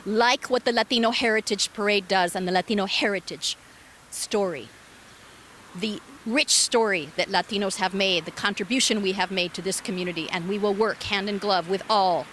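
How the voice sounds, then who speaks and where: A middle-aged woman from Argentina, a parade association president, giving an earnest, proud recorded address and slowing down on key phrases. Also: medium pitch, fairly bright tone, slight background noise.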